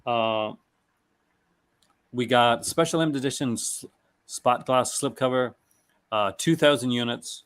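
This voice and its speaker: A man's voice: medium pitch, a little slow, long pauses, a bit bright, smooth. A man hosting a casual chat, reading out movie descriptions with stumbles and restarts and laughing often. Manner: reads product blurbs aloud, stumbling and restarting, laughs often. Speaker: host.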